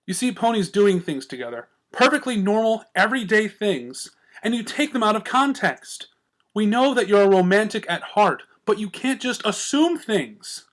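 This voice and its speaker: A man's voice: high-pitched, very animated, moderate pace, voice pushed up. A man voicing an earnest female pony in a story reading, patient but frustrated, reasoning emphatically. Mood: frustrated, pleading.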